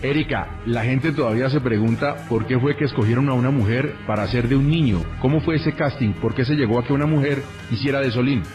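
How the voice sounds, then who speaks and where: A man's voice: mid-range voice, fast talker, over music. A man hosting a radio interview.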